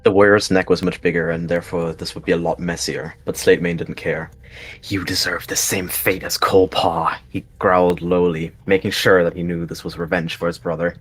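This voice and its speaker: A man's voice: sits low, little pitch movement, brisk, hardly any pauses, breathy edge. A man giving dramatic third-person narration of his character in a roleplayed story.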